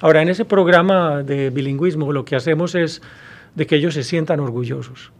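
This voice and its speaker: A man in his fifties with a Colombian Spanish accent, a university professor answering interview questions, pausing often with hesitations and restarts, recorded on a studio mic. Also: fairly high pitch. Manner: thoughtful, many hesitations and restarts. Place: small studio with blue backdrop. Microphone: studio mic on boom arm.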